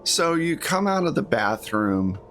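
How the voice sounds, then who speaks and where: A man's voice: a little high, sing-song, a little slow, a bit bright, slight background noise. A man narrating a tabletop roleplaying game as game master, in a casual, conversational style.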